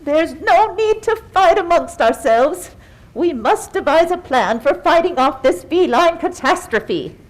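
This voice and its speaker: Woman as a prim, high-voiced, haughty pig. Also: expressive, measured pace, poor audio. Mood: pompous, worried. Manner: fussy, grandiose wording. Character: prim, haughty female farm pig, easily exasperated. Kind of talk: puppet show storytelling for children.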